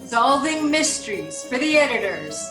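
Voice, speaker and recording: A woman's voice: sits high, sing-song, normal speed. A woman acting as the theatrical narrator introducing a sci-fi detective audio drama. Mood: dramatic. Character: dramatic female narrator of space detective serial. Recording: echoey, distant, loud music underneath.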